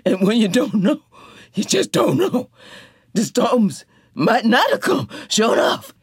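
A woman's voice: fairly high pitch, sing-song, unhurried, breathy edge, no echo, clean studio sound, pitched above the performer's own voice, heavily acted. A man voicing a frightened young woman, fleeing a flood and pleading breathlessly.

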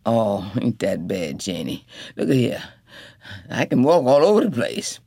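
Lady doing a sick guy, low gravelly drawl, kinda rough and close-miked. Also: sing-song, a little slow, dark tone, no room sound, full character voice. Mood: weak. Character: easygoing young Southern man, falling sick.

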